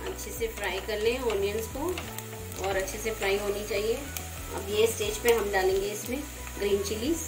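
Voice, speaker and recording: A woman talking through a home cooking recipe step by step, in a gentle, conversational way. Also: mid-range voice, fairly even intonation, moderate pace, music in the background. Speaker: home cook. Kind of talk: cooking demonstration voice-over. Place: home kitchen. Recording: roomy kitchen, frying noise. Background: sizzling frying pan.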